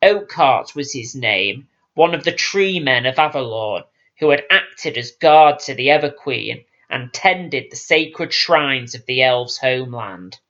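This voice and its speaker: A male narrator reads scripted fantasy prose. His delivery is slow, solemn and dramatic, at a medium pitch. The recording is a touch muffled and lo-fi. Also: expressive, sharp tone. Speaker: audiobook narrator.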